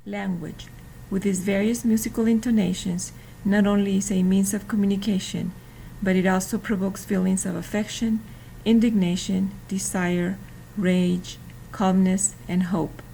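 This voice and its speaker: Woman, 50s, reads a class talk deliberately, gravelly low voice, mellow tone. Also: steady tone, slight background noise, low-quality recording. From Mexico. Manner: careful, deliberate reading with some restarts. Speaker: student. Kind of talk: reading a prepared presentation.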